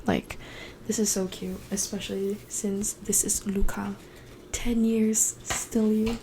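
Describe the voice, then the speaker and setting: A young woman from the Philippines, whose first language is Cebuano, rambles through a chatty bookshelf tour late at night. She sounds tired, and her voice often drops to a hushed, breathy tone. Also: medium pitch, flat delivery, takes it slowly, noisy background. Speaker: book vlogger.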